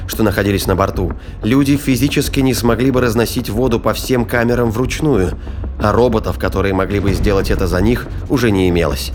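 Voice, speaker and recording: Casting: male narrator for scripted sci-fi thriller drama, fairly low register, over prominent music.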